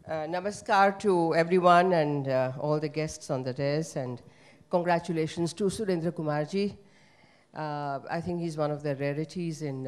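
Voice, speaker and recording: A woman's voice: sits low, darkish tone. An older woman whose first language is Gujarati speaks into a handheld microphone in a conference hall, giving conversational panel remarks with many fillers. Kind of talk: panel remarks at a book launch.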